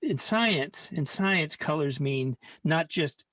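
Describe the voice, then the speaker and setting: A man's voice: fairly high pitch, lively intonation, takes it slowly, a bit bright, no room sound. A man leading an informal live online science lesson in a hesitant, rambling way, often restarting his sentences. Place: online video call.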